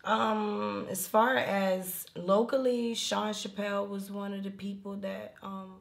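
A woman in her thirties with a raspy alto voice, chatting casually in an interview and rambling through stories with many fillers. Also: medium pitch, little pitch movement, slow. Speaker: rapper and singer interviewed. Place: indoor room, seated on a leather couch.

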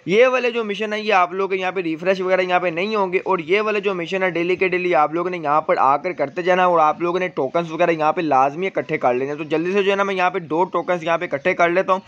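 Fast-talking, higher-pitched man explaining a game. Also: a bit bright. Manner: repetitive explanatory phrasing with frequent verbal tags. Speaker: gaming video presenter. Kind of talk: casual game event walkthrough. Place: voice-over on screen-captured gameplay. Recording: dull, lo-fi voice recording.

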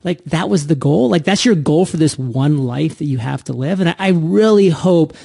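A man's voice: a little high, lively intonation, normal speed, vocal fry, mellow, breathy edge, no room sound, clean studio sound. A man hosting an upbeat entrepreneurship podcast, with an emphatic, punchy delivery. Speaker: podcast host.

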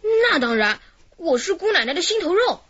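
A woman's voice: very high voice, very animated, normal speed, fairly bright tone, dry recording, heavily acted. A woman voicing a defiant fourteen-year-old boy pickpocket in a radio drama, answering back cheekily under questioning. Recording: dull lo-fi radio drama audio.

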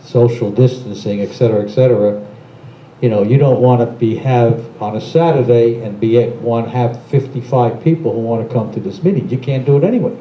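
A man's voice: mid-range voice, brisk, a little hiss or hum. A man on a board, speaking in a rambling, casual way with many you-knows. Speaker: board member. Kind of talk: meeting discussion. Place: large meeting room. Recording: echoey, slightly dull room sound.

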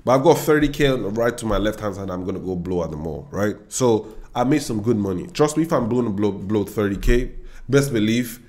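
Young Nigerian trader, lowish voice, confident and chatty, desk-mic screen-share talk. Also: male voice, animated, fairly quick, darkish tone. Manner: confident, chatty, talks straight to viewers with asides. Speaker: forex trader and educator. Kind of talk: screen-share trading analysis. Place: indoor room.

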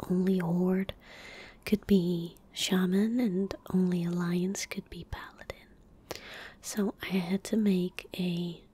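A young woman giving soft-spoken ASMR gaming commentary, hushed, gentle and whispery, close to the microphone. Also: fairly low pitch. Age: young adult. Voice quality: breathy, whispery. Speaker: ASMR streamer playing a game. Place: indoor gaming room at home. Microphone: close desk microphone.

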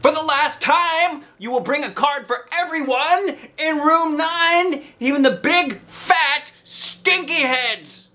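Storybook schoolmistress, played by a man: very high, shrill, exasperated, drawing out each scolding word. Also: pushed and bright, exaggerated voice. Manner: shrill, drawn-out emphatic scolding. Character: exasperated woman schoolteacher, dramatic and weary.

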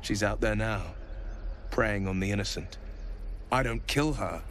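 Low-voiced gallant young male hero. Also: a little slow, a bit bright, a little hiss or hum. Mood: grim, resolute. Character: gallant, boastful young monster-hunting folk hero. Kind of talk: fantasy game voice acting.

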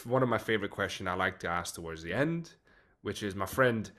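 A man in his thirties hosting a casual interview over a video call, talking in an animated, expressive way. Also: on the low side, brisk. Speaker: podcast host. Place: home room, indoors.

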